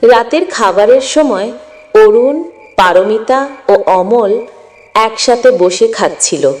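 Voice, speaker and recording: A woman's voice: fairly high pitch, lively intonation, slow, darkish tone, soft background music. A woman narrating a scripted moral story as the storyteller. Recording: long echoing reverb.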